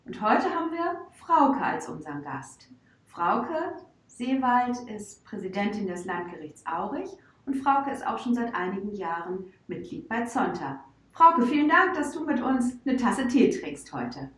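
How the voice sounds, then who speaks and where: A woman's voice: a little high, sing-song, unhurried, echoey room. A woman hosting a podcast, asking questions in a friendly, encouraging manner. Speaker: podcast host. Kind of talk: podcast interview.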